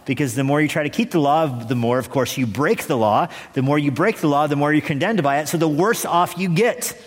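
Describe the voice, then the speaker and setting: A man preaching an expository sermon as a pastor, emphatic and deliberate, repeating key phrases with pauses. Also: medium pitch. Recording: echoey hall with long reverb.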